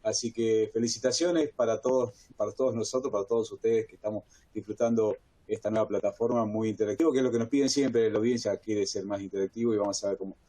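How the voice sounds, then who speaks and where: A man's voice: on the low side, fairly even intonation, quick pace, dark tone. A man from Argentina with an Argentine accent, moderating a technical webinar in an enthusiastic, rambling way. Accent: Argentine Spanish.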